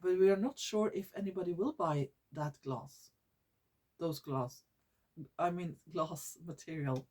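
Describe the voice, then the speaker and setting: A woman from Norway with a Norwegian accent, hosting her own vlog in English, which is not her first language, often pausing to search for words and restarting her sentences. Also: on the low side, darkish tone. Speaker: YouTube channel host. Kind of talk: casual vlog monologue.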